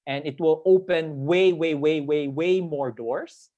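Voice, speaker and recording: A man's voice: fairly high pitch, unhurried, mellow, muffled recording. A young man from the Philippines talking casually as a panelist on a video call, rambling and repeating words for emphasis. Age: young adult. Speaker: panelist sharing work experience. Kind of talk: casual spoken panel talk.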